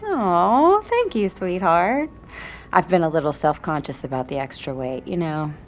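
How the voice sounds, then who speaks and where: A motherly expectant wife in an audio drama, sugary and solicitous. Her voice sits a little low for a woman, with coaxing endearments over soft music. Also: animated, measured pace. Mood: sweet, motherly. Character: sweetly motherly pregnant wife, solicitous toward a teenage girl. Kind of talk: naturalistic audio drama acting.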